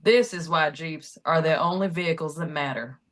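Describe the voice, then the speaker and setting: A determined, plain-spoken character in a thriller, read by a woman at a table read. Her voice is a touch low and direct. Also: normal speed, lightly acted. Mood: determined, wry.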